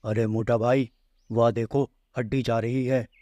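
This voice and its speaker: A sly, teasing skinny dog sidekick from a children's cartoon , played by a man. The voice has a middling male pitch and a dry, close sound. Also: little pitch movement, a little slow, warm tone, no echo, natural voice. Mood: sly, eager. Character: scheming, mocking skinny village dog, a sidekick. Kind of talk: children's cartoon story acting.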